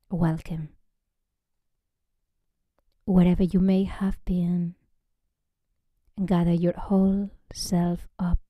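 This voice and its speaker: Very soft, slow, mellow woman guiding relaxation. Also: on the low side, no room sound. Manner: gentle, unhurried, often dropping to a breathy near-whisper. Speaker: yoga nidra teacher. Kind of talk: guided relaxation meditation.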